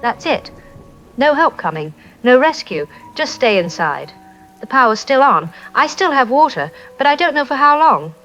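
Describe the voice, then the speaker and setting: A woman playing a frightened, trapped eyewitness calling in to a radio drama, speaking in bleak, halting short phrases. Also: high-pitched, very animated, a bit bright, soft background music. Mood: despairing. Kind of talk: phone-in testimony in an audio drama. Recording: dull lo-fi call-in sound.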